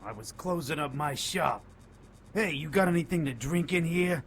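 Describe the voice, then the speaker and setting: High, excitable, sleazy shopkeeper. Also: male voice, moderate pace. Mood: boastful recounting. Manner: whining, leering, melodramatic. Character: sleazy, excitable male enchanted shopkeeper, panicky and lecherous. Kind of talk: audio drama acting.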